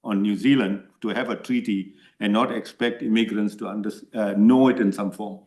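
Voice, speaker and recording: A man's voice: lowish voice, steady tone, moderate pace, a little mellow. Earnest man, echoey room. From Malaysia. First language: Tamil. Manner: earnest, repeatedly asks "right?". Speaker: submitter to a parliamentary committee. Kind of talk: answering committee questions.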